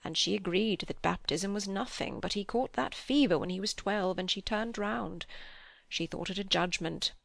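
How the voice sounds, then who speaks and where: A woman voicing an old grieving father who speaks gently and slowly. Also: medium pitch, gravelly, no room sound. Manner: slow, quiet, heavy.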